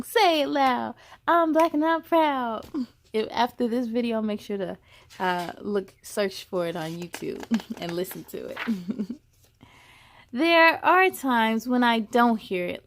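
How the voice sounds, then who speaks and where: A woman hosting a children's story time, reading aloud expressively with encouraging, chatty asides to young viewers. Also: fairly high pitch. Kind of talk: animated children's read-aloud.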